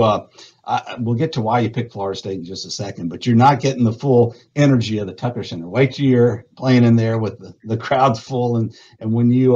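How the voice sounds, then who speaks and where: A man's voice: medium pitch, darkish tone, lo-fi sound. A man hosting a live booster club interview, talkative and upbeat, introducing and questioning players.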